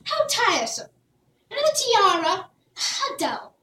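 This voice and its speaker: A woman's voice: very high voice, very animated, unhurried, background noise, pitched above the performer's own voice, heavily acted. A woman voicing a spoiled young princess in a storybook, talking in a haughty, scoffing way. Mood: scornful. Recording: roomy, distant mic.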